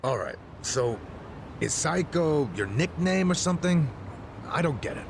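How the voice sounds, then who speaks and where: An actor playing a gruff, easily flustered older man in an English game dub, blunt and incredulous, with a gravelly voice. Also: a little high, sing-song, measured pace, background noise, exaggerated voice. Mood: baffled, indignant.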